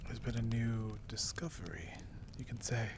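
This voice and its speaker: A man playing a seasoned, wry dungeon explorer in a dark fantasy ASMR roleplay, drawling theatrically and insinuatingly. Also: mid-range voice, moderate pace, background noise, lightly acted. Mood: wry, sly. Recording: roomy echo.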